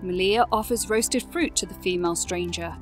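A woman's voice: fairly low pitch, moderate pace, over music. A woman narrating a scripted fantasy audio drama.

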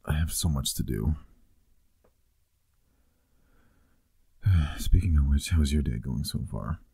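Very deep, husky boyfriend, acted by a man. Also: normal speed, mellow, dry recording, exaggerated voice. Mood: affectionate. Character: teasing, affectionate boyfriend busy with work. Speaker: ASMR roleplay performer. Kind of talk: intimate boyfriend roleplay ASMR, casual chat.

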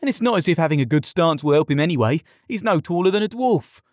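A man's voice: fairly high pitch, very animated, normal speed, darkish tone, breathy edge, no room sound, clean studio sound, voice pushed up. A man voicing a mocking, insolent stable boy in an audiobook, taunting and bullying. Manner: taunting, sneering.

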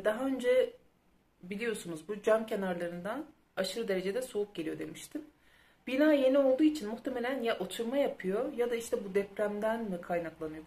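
A woman's voice: medium pitch, darkish tone. A woman in her thirties chatting casually to her phone camera in her living room, explaining plainly. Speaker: home vlogger. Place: living room at home, indoors. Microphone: phone at arm's length.

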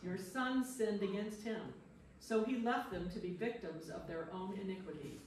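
A woman's voice: medium pitch, moderate pace, darkish tone, close to an everyday voice. A woman playing a blunt friend who scolds in defense of God, reading scripture dramatically and emphatically. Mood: scolding. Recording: echoey room, distant mic, faint noise.

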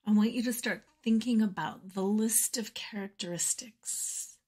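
A woman leading a guided meditation in a soft, breathy, soothing voice with measured phrasing and pauses. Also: mid-range voice. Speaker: meditation guide and podcast host.

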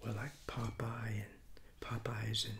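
A man in his sixties, hoarse from a cold, reviewing fast food in a soft, half-whispered ASMR style with many restarts, seated at a table indoors. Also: on the low side, little pitch movement, a little hiss or hum. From Southeastern United States. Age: 60s. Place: small room at a table, indoors. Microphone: fixed camera mic at close range.

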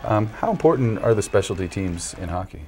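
A man's voice: lowish voice, animated, brisk, darkish tone, a little hiss or hum. A young man hosting the sports segment of a local TV newscast, interviewing a guest in an upbeat broadcast manner with a few small stumbles. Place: small local TV news studio, indoors. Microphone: lapel mic.

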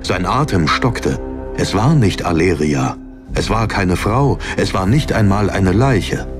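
A man with a very low voice narrates a fantasy audiobook in a dramatic, scripted style. Music plays clearly behind his reading. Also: lively intonation, measured pace. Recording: narration over background music.

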